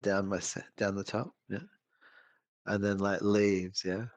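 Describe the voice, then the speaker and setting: Low-voiced man rambling casually. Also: monotone, measured pace, no echo. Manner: rambling, hesitant, lots of restarts. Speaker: co-host. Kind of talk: casual absurd chat. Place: home setup, streamed show.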